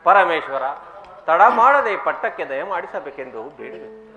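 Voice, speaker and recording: A reverent creator god of mythological drama, acted by a man declaims emphatically. The voice is high and animated, echoing in a roomy space. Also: unhurried, music in the background. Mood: reverent, earnest. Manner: formal, emphatic, swooping declamation. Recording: roomy echo.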